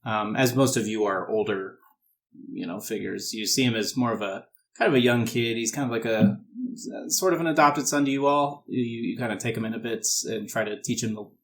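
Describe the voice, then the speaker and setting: A man's voice: mid-range voice, brisk. A man working as game master, describing a tabletop role-playing scene in an informal, explanatory way.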